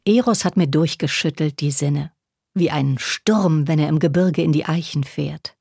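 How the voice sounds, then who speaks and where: A woman's voice: low-pitched, normal speed, creaky, a little mellow, breathy edge, no room sound, studio quality, heavily acted. A woman reciting verse as a passionate ancient lyric poet in a radio feature. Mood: passionate, longing. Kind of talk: literary recitation of poetry.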